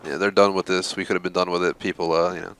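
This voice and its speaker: A man's voice: deep voice, level tone, fast, fairly bright tone, a little hiss or hum. A man hosting a live game stream, chatting casually and rambling with lots of fillers. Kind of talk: casual live-stream chat while gaming.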